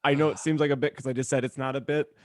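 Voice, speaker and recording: A man's voice: mid-range voice, fairly even intonation, racing, a little mellow. A man chatting casually on a comedy podcast, wry and profane in his banter with co-hosts. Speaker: podcast co-host. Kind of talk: casual comedic group chat.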